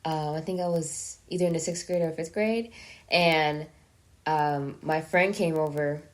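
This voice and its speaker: Young woman, lowish voice, casual slangy talk, asks her mom lots of questions. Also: unhurried. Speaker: co-host, daughter. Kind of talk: casual chat.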